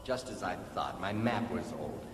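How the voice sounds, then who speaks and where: An actor in a science fiction audio drama playing a resolute, practical man leading an escape, brisk and commanding. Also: sits low, loud music behind the voice, close to an everyday voice. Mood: matter-of-fact.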